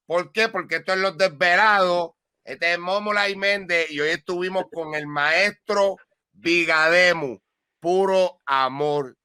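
Guy cohosting, emphatic, repeats himself, high bright voice. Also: unhurried, clean tone. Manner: emphatic, repeats words while explaining. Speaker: podcast co-host. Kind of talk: casual chat.

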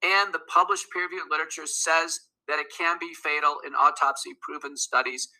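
Assertive male interview guest, sharp bright tone, high and gravelly. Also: fairly even intonation, brisk, rough recording. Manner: firm, assertive, lists facts steadily. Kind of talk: interview clip.

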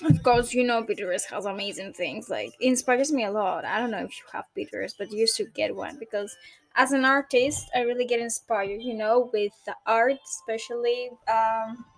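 A woman's voice: on the high side, normal speed, poor audio. A woman in her early twenties from Greece with a Greek accent, chatting casually as a podcast host in a rambling, hesitant way. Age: early 20s. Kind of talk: casual solo podcast chat. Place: bedroom at home. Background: chill R&B background music.

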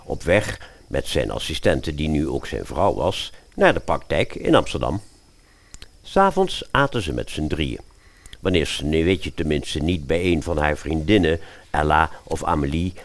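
A man narrating a scripted fairy-tale radio play as its storyteller. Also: a bass voice.

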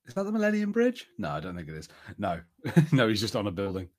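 A man's voice: fairly low pitch, wildly swooping pitch, fast, no echo, clean studio sound. A man with an English accent co-hosting a podcast, talking casually in a film and TV review discussion. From England. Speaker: podcast co-host.

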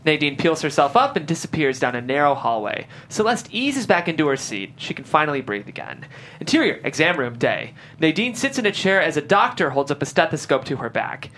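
A woman's voice: low voice, very animated, fairly quick, fairly bright tone, a little hiss or hum. A woman reading stage directions as scripted narration at a table read.

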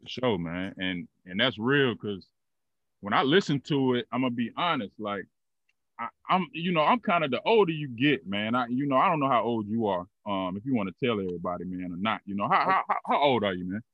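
A man's voice: mid-range voice, animated, brisk, dry recording, highs cut off. A man in his thirties hosting a podcast, asking questions in a loose, rambling casual way with lots of fillers. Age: 30s. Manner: laid-back, loose and rambling with many fillers. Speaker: podcast host. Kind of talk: casual interview.

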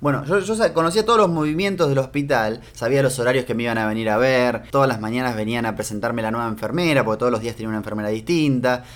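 An Argentine man telling a personal story in a casual, animated and humorous way, with frequent restarts. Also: mid-range voice, very fast, hardly any pauses. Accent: Argentine Spanish.

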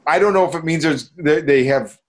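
A man's voice: on the high side, fairly quick. A man reviewing movies casually as a podcast co-host, enthusiastic and swearing freely.

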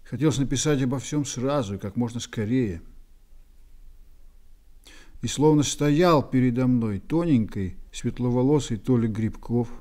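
Actor as a slow, mellow, reflective writer. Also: male voice, mid-range voice, natural voice. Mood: pensive, wistful. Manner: pensive, deliberate. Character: reflective male journalist-writer, wistfully remembering his friend. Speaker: narrator. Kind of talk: dramatic narration in a radio play.

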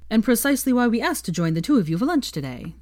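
Woman reading as a droll, prim young gentleman wizard. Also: a little high, sing-song, fast talker, dry recording, studio quality, voice pushed up. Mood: polite, cheerful.

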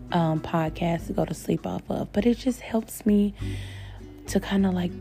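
A woman hosting a podcast in a casual, encouraging way, often speaking of faith and gratitude to her listeners. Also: medium pitch. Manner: warm, encouraging, spiritual, talks to listeners as "my babies". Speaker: podcast host. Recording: faint guitar music behind.

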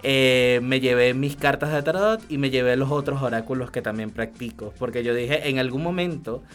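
A man's voice: medium pitch, moderate pace. Venezuelan man chatting as a guest. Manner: frequently adds short check-in tags. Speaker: interview guest, tarot reader and astrologer. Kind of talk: conversational personal account on radio.

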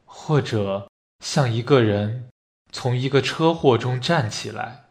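A man acting a dying hospital patient in a radio play, calm, resigned and reflective as he speaks quietly. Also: medium pitch, slow, creaky. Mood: dreamy, reflective. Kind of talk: amateur radio drama reading of a stage play.

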